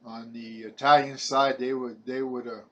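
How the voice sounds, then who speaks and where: A man's voice: medium pitch, flat delivery, lo-fi sound. A man in his seventies from Brooklyn with a New York accent, talking to camera in a rambling personal testimony, often repeating his words. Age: 70s. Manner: halting, repeats words, chatty and preachy.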